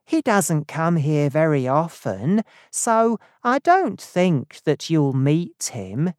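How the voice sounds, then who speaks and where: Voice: medium pitch, sing-song, slow, darkish tone, no echo, clean studio sound. A narrator voicing an obliging female librarian in an audiobook story, polite and lilting. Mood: helpful.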